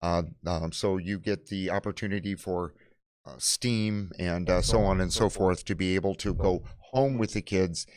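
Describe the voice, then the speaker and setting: Give this presenter a lowish male voice with a halting, filler-strewn delivery. He talks off the cuff as he shows products. The sound is very dry, with no room to it. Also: steady tone, darkish tone, no room sound. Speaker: presenter of library program kits.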